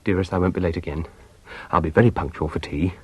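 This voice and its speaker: An actor playing a jovial, blustering newlywed husband in a British farce, with an upper-class English accent. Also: male voice, low-pitched, fairly even intonation, quick pace, breathy edge, no room sound. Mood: placating.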